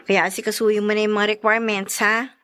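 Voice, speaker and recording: An actress playing an exasperated, bossy but protective elder sister and wife in a radio drama. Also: female voice, mid-range voice, little pitch movement, fast talker, a bit bright, clear, resonant tone, natural voice. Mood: bossy, concerned.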